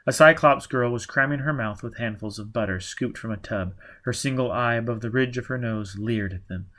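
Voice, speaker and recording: A man's voice: medium pitch, a little fast, a bit bright. A man reading a novel aloud as dramatic scripted narration. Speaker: audiobook reader.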